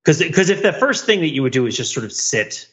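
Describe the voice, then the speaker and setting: A man's voice: a little high, very animated, fast talker, a bit bright, muffled recording. A man co-hosting a podcast in casual, conversational banter. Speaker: podcast co-host.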